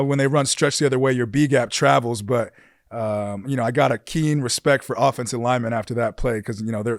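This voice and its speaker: A young man from California, a pro football player, chatting casually as a podcast guest in a relaxed, easygoing way. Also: mid-range voice, no echo, studio quality. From California, USA. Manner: relaxed, easygoing storytelling with frequent fillers. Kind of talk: casual interview chat. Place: dark studio with red lighting. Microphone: studio mic on boom arm.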